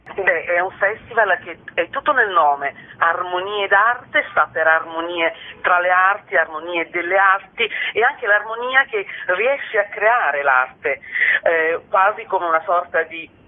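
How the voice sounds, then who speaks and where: A woman interviewed on the radio as a festival director, speaking in a measured, formal way with elaborate phrasing. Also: on the low side, animated. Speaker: festival founder and director, guest. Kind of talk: radio interview, articulate explanation. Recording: muffled phone-like line.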